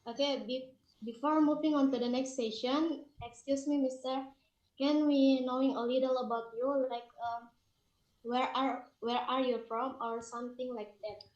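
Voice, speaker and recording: A young woman, moderating a class presentation in careful, halting learner English. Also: sits high, fairly even intonation, darkish tone, lo-fi sound. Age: young adult. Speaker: student moderator. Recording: echoey room.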